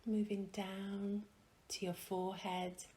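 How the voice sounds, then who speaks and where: A woman leading a guided meditation in a soft, hushed, breathy voice, gently and soothingly, with long pauses. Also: medium pitch, flat delivery, a little hiss or hum.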